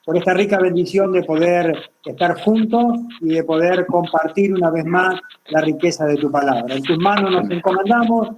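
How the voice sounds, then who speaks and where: A man opens a church gathering with a prayer over a video call. He speaks slowly and reverently, his voice a little high, with a faint hiss behind it. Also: poor audio. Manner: slow and reverent. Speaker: prayer leader of the congregation. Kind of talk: opening prayer.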